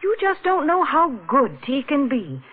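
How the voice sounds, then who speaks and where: A high-pitched woman with very animated intonation pitches products in homey old-time radio style. The recording is muffled and rough. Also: measured pace. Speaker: radio commercial spokeswoman. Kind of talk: scripted 1940s radio advertising.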